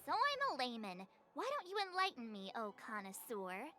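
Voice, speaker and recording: A woman voicing a perky, outspoken teenage adventurer girl in a video game, with a bubbly, cheeky, expressive delivery. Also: fairly high pitch, normal speed, a little hiss or hum, exaggerated voice. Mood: teasing.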